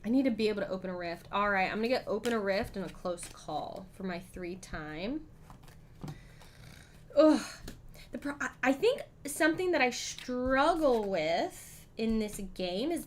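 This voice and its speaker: A woman streaming a solo board game, chatting casually and thinking aloud, with frequent ums and throat clearing. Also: on the high side, lively intonation, moderate pace, slight background noise. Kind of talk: casual live-stream play-through.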